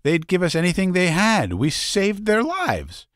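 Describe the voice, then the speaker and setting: A man reading aloud, voicing a brisk, proud young woman. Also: high-pitched, huge pitch swings, fairly bright tone, dry recording, studio quality, voice pushed up, heavily acted. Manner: brisk, peevish.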